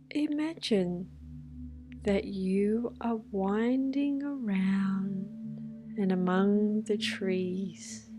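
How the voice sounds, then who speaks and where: A woman guiding a scripted meditation in a hushed, soothing manner, leaving long pauses between phrases. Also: medium pitch. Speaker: meditation guide. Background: music with singing bowls.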